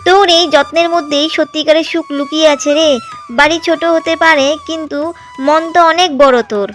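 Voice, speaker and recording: A woman's voice: high voice, steady tone, normal speed, a bit bright, faint music behind. A woman voicing a chatty village neighbor. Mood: admiring.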